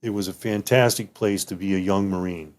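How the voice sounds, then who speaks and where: Man, 50s, native German speaker, retired officer telling old service stories. Low-pitched, rambling, lots of restarts. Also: steady tone, brisk. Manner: rambling, many restarts and "you know"s. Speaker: retired military officer guest. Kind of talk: casual interview reminiscing.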